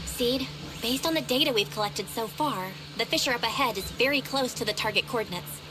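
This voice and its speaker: A woman's voice: a little high, a little fast, over music, natural voice, rough recording. A woman playing a caring, alert young female teammate in a video game, with a youthful voice. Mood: reassuring.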